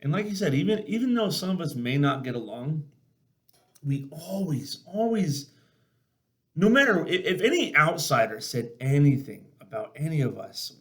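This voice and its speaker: A man's voice: fairly high pitch, big pitch swings, moderate pace, fairly bright tone. A man talking casually and earnestly as a show host, telling personal stories in an informal, emphatic way. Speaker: podcast host and former army sergeant. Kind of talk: casual conversational storytelling.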